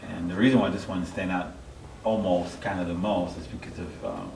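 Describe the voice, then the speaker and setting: A middle-aged man from Haiti giving a personal testimony as an interview guest, halting and searching for his words. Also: low voice, steady tone, a little mellow. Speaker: interview guest, church member. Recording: echoey, distant mic, clear background noise.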